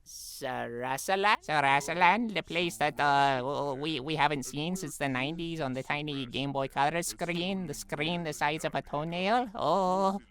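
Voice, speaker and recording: A man's voice: a little high, a little slow, few pauses, fairly bright tone. Man voicing a hesitant, bewildered cartoon character. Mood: baffled. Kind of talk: cartoon fan dub dialogue.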